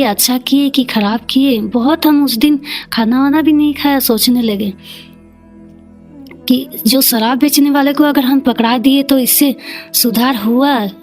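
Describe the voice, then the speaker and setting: A woman with an eastern Indian regional Hindi accent telling her personal story in a plain-spoken, halting, colloquial way. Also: high-pitched, darkish tone. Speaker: community leader, podcast guest.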